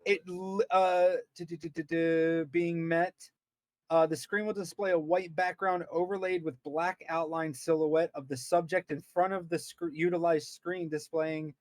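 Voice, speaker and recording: Higher-pitched man reading aloud haltingly. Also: a little mellow, no echo. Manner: halting reader, stumbles and repeats words. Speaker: podcast co-host. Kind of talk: reading aloud with casual commentary.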